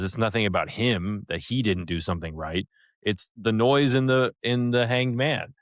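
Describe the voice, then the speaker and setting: Low-voiced man chatting on a podcast. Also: no echo, clean studio sound. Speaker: podcast co-host. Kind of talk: casual review discussion.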